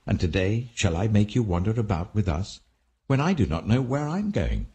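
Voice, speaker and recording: A British man voicing a grave, weary old king in exile with a commanding, deliberate manner, in a scripture audiobook. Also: fairly low pitch, very animated, warm tone, husky, pitched above the performer's own voice, full character voice. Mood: troubled, questioning.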